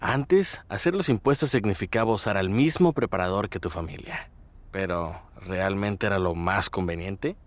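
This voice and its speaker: A man's voice: deep voice, moderate pace. A man reading a scripted commercial voice-over as an advertising announcer. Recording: music under the voice. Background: music.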